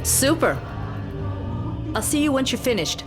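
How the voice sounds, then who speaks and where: An actress playing a composed, wry intelligence officer giving briefings in a video game cutscene. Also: female voice, sits high, very animated, unhurried, loud music behind the voice. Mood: wry, businesslike.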